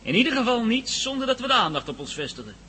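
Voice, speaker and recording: A man's voice: very high-pitched, sing-song, quick pace, sharp tone, exaggerated voice. A man playing a wary, practical cameraman in a radio drama, talking in a dry, slightly hesitant way. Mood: concerned. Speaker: actor in a radio play. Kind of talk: Dutch radio drama acting. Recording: radio play with faint noise.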